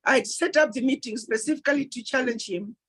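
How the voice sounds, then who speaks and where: A woman's voice: fairly high pitch, fast talker, fairly bright tone, breathy edge. A woman speaking at a diaspora planning meeting, giving an emphatic public address and repeating key points for emphasis. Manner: acts out quoted dialogue.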